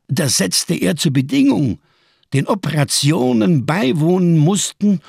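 Gravelly, embittered camp survivor. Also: male voice, high-pitched, very animated, a little slow, a little mellow, dry recording, studio quality, full character voice. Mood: agitated, intense. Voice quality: gravelly, husky. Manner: solemn, rhetorical, biblical diction, bitterly sardonic. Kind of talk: German radio crime drama monologue.

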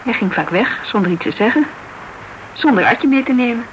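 A woman's voice: on the high side, expressive, moderate pace, natural voice. An actress in a Dutch radio drama playing an earnest, eager young woman client, on a dull, noisy recording. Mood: earnest, confiding.

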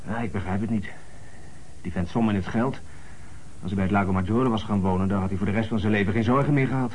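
An actor playing a sporty, obliging but vague local witness in a Dutch radio play, husky-voiced, informal and hesitant. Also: male voice, on the low side, fast talker, darkish tone, close to an everyday voice, low-quality recording. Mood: chatty, frank.

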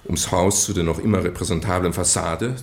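Creaky-voiced, hesitant genteel gentleman confessing. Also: low voice, steady tone, fairly quick. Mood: eager, justifying. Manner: hesitant, roundabout, genteel storytelling with stammered repeats. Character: British gentleman nephew earnestly confessing a well-meant deception. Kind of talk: German radio drama acting, confessional narration.

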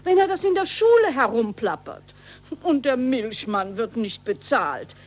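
Scolding housekeeper, higher-pitched. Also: female voice, big pitch swings, a little slow. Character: scolding, practical housekeeper, protective mother. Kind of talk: 1940s German radio play acting.

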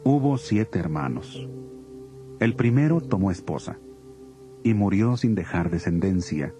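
An actor playing a learned religious man who poses questions formally and deliberately in a dramatized scripture recording. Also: lowish voice, mellow. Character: Sadducee man, learned questioner posing a legal riddle.

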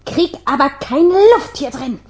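High-pitched, restless little fire spirit. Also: female voice. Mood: whining, bored. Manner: whining, impulsive, drawn-out. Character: small restless girlish fire spirit, discontented, yearning. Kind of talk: children's radio play acting.

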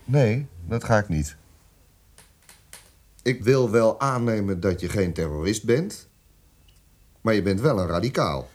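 An actor playing a condescending South African police interrogator in a radio drama, prodding with short, sardonic questions. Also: male voice, lowish voice, animated, measured pace, pauses often, a little mellow. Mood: condescending, probing.

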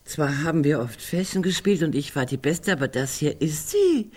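An actress playing a sardonic, provocative sister in a German radio drama, theatrical and husky. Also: female voice, low voice, expressive, moderate pace, darkish tone. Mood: defiant. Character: Greta, sardonic, provocative sister of a squabbling family.

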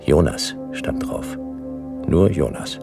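An actor playing a laconic, world-weary, befuddled private detective, narrating a hard-boiled radio drama in clipped, deadpan sentences. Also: male voice, a bass voice, takes it slowly, gravelly, heavily acted. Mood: groggy, deadpan. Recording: music behind the voice.

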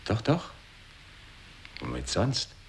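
A man's voice: on the low side, wildly swooping pitch, slow, vocal fry, slightly rough, exaggerated voice. An actor in an experimental radio play, playing an evasive one-legged man with a terse, questioning way of talking.

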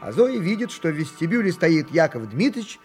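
A husky-voiced man narrating a children's radio play as its storyteller. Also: on the high side, sing-song, normal speed, music in the background.

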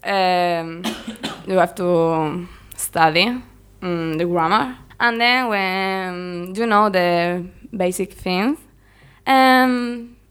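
A young woman whose first language is Spanish answers interview questions in careful learner English. She speaks slowly and haltingly, her voice smooth and clear. Fillers and little laughs run through her talk. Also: on the low side, a bit bright. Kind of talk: casual interview chat.

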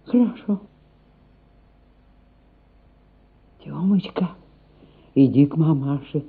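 An actress playing a humble, soft-hearted servant nanny in a radio play, with folksy peasant turns of speech. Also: female voice, low voice, unhurried, breathy edge, heavily acted. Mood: coaxing. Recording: muffled, phone-like radio play recording.

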